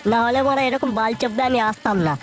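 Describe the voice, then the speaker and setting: Woman voicing a high-pitched, squabbling cartoon village guy. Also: fairly even intonation, very fast, lightly acted. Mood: nervous, grumbling. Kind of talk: exaggerated comedy cartoon dialogue. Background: music.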